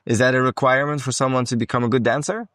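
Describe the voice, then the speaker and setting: A man's voice: mid-range voice, steady tone, very fast, a bit bright, no echo, studio quality. A man hosting an interview at a dance event, upbeat and encouraging, with many short words of agreement. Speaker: podcast host. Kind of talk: casual interview. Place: salsa congress venue.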